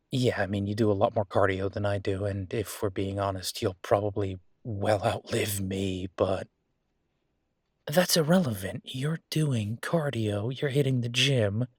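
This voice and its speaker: An easygoing werewolf boyfriend from an audio roleplay, acted by a man. He has a mid-pitched male voice and chats casually, with a playful, teasing and slightly vain manner. The sound is dry, with no room on it. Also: slightly rough, dry recording. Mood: teasing, affectionate.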